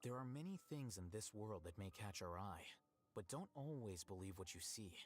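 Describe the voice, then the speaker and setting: Deadpan, low-voiced aloof young scholar, acted by a man. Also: natural voice. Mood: aloof, matter-of-fact. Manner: deadpan, measured, precise, detached delivery. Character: aloof, calm, bookish young male scholar who shuns company. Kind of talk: scripted video game voice lines.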